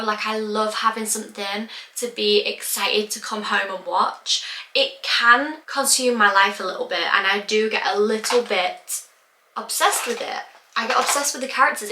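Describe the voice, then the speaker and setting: A young woman in her twenties with a croaky voice, rambling casually and swearing a bit as she talks to her camera. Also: fairly high pitch, bright, forceful tone, roomy sound. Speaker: vlogger. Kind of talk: casual chatty vlog monologue. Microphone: camera mic.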